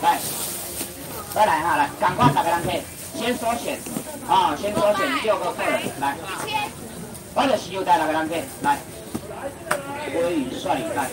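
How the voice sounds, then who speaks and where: Young Taiwanese auctioneer calling prices. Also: male voice, sits high. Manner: loud sing-song price calling, bantering with the crowd. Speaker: seafood auctioneer. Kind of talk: rapid market auction patter. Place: crowded outdoor seafood market under tents. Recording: distant voice over loud market noise.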